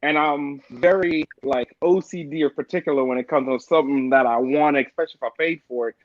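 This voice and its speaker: A middle-aged man from Jamaica, a guest on a casual podcast, telling stories in a relaxed way and often saying "man" and "like". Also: fairly high pitch, brisk, no room sound, highs cut off. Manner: relaxed storytelling with frequent "man" and "like".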